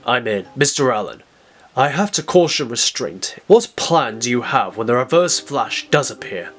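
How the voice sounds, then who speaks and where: A man playing a stern, older scientist mentor who speaks formally and deliberately, urging caution in an audio drama. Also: mid-range voice, lively intonation, fairly bright tone, voice pushed down, natural voice.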